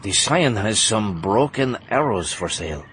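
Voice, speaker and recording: An actor playing a dutiful, formal aide reporting to a South American dictator in an audiobook drama. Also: male voice, lowish voice, expressive, measured pace, a bit bright, slight background noise. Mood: deferential. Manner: deferential, formal.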